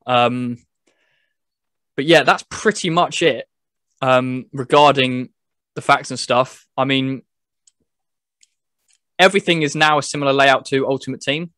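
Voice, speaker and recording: Man, medium pitch, podcast host, casual explanatory game talk, dry recording. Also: measured pace, long pauses, bright, forceful tone, no room sound. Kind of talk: casual gaming chat.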